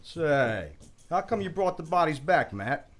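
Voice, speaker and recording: A man's voice: a little high, big pitch swings, a little slow, full character voice. A man with an American accent playing a talkative, concerned frontier town doctor in a western radio drama, folksy and full of questions. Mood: inquisitive. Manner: folksy, exclaiming, prodding with questions.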